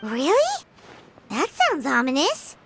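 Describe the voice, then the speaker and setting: A woman voicing a small, cheerful childlike fairy companion in a video game, chatty and eager, with a squeaky voice. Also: wildly swooping pitch, slow pace, gravelly, heavily acted. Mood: curious. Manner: perky, exclamatory. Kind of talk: video game character acting. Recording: loud music behind. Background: game music.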